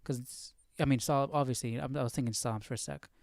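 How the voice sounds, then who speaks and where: A man hosting a casual Bible study livestream, thinking aloud in an informal, halting way. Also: medium pitch, fairly even intonation, a little mellow, no room sound, studio quality. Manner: informal, halting, muses to himself.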